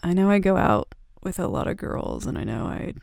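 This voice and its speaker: A woman playing a gentle, touchy, vulnerable bad-girl roommate in an intimate ASMR roleplay, speaking softly and hesitantly into a close mic. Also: fairly low pitch, big pitch swings, creaky, no echo. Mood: hurt, vulnerable. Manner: soft, hesitant, halting confiding talk. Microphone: close, dry microphone.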